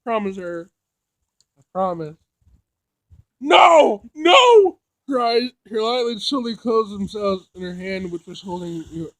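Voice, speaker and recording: A man reads a visual novel aloud as a let's play, in a fairly high voice at a very slow pace. His delivery is halting and emotional, given to dramatic outbursts. The sound is close and dry. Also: animated, a bit bright, dry recording. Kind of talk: let's play narration with loud reactions.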